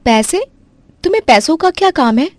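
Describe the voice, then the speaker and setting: A woman's voice: on the high side, fairly even intonation, normal speed, a little mellow, no echo, pitched above the performer's own voice, close to an everyday voice. A woman voicing a poor young woman who looks after her little brother in a cartoon story, affectionate and encouraging. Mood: surprised. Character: poor young dhaba-running woman, caring older sister.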